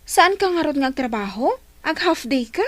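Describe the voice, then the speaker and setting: A woman's voice: sits high, big pitch swings, normal speed, a bit bright. A woman playing a stubborn, lovestruck young woman in a radio comedy drama. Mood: nagging. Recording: music under the voice.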